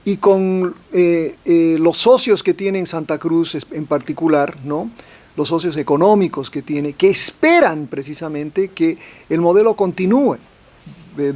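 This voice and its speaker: A man's voice: a little high, a little slow, a little mellow. A man speaking as a professor on a forum panel, hesitant, restarting phrases and tagging sentences with questions. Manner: hesitant, repeats words, adds tag questions. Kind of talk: forum talk on politics.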